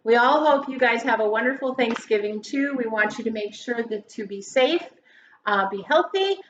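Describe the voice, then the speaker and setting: A woman's voice: a little high, normal speed, fairly bright tone. A woman reading a children's story aloud like a grade school teacher, with theatrical, emphatic delivery. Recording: roomy echo, dull sound, faint noise.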